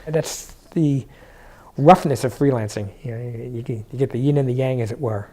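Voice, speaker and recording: An older man from New York City, a freelance audio engineer, talking in a relaxed, reflective way in a documentary interview, sitting in a living room. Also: mid-range voice. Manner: relaxed, reflective, with pauses and fillers.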